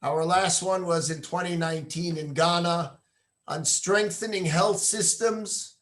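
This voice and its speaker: A man presenting a program and handing over to the next speaker in a measured, formal way in an online info session. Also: high voice, steady tone, fairly bright tone. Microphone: video call.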